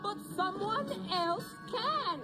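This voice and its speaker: A woman voicing a brainy, excitable male gadget tinkerer in a storybook, precise and earnest. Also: very high voice, slow, over loud music, voice pushed up, exaggerated voice. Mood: excited, urgent.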